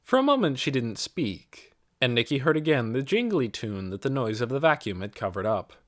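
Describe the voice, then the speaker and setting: A man narrates a novel as an audiobook reader. His pitch is average for a male voice. His intonation is animated and ranges widely through the scripted prose. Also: moderate pace.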